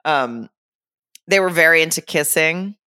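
A woman's voice: low voice, expressive, a little slow, bright, forceful tone, smooth, no room sound, clean studio sound. A woman co-hosting a podcast, chatting casually and rambling through stories with laughs between points. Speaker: podcast co-host. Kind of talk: casual recap chat.

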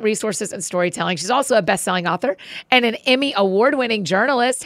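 A woman's voice: medium pitch, a bit bright, dry recording, clean studio sound. A woman hosting a podcast, bubbly and chatty as she introduces and talks with a guest. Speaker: podcast host. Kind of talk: friendly podcast interview.

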